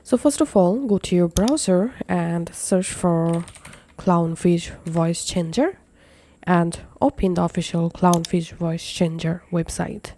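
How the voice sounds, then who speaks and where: Mellow tutorial presenter, instructional tone. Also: mid-range voice, slow, slight background noise. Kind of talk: step-by-step software tutorial narration.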